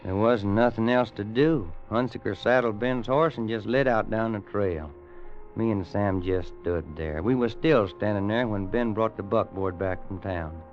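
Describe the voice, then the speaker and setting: An actor playing a plain-spoken, kindly rancher in a radio western, folksy, with an American Western drawl. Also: male voice, fairly low pitch, normal speed, close to an everyday voice. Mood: somber storytelling. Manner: folksy, plain, hesitant at times. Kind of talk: old-time radio western narration and acting. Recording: dull vintage radio recording with music.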